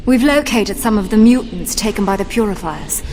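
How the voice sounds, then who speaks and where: A woman's voice: medium pitch, lively intonation, normal speed, over music, close to an everyday voice, low-quality recording. Composed woman mission guide played by an actress. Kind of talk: video game cutscene acting.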